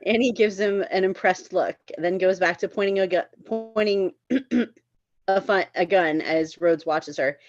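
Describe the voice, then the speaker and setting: A woman's voice: medium pitch, unhurried, lo-fi sound, soft background music. A woman reading stage directions at a live script table read, informal and lightly amused.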